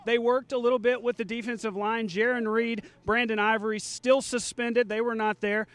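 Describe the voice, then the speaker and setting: A male sports anchor with a high voice presents a live TV sportscast. He talks conversationally and jokily, as if chatting with fans. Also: flat delivery, moderate pace, a bit bright, smooth, no room sound.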